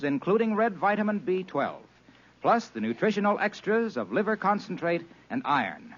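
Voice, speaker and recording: A male radio announcer reading ads in polished mid-century sales patter. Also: high voice. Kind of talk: 1940s-50s radio ad read. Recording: muffled old radio broadcast.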